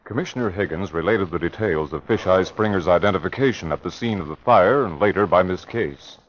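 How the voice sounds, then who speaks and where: Deep-voiced old radio drama narrator. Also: male voice, brisk, a little hiss or hum. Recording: dull old radio broadcast.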